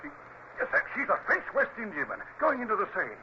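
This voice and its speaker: A hissy, dulled old radio drama recording. a brisk British naval officer, hearty and deferential, with a very lively, swooping delivery. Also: male voice, sits high, dull audio. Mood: alert, regretful.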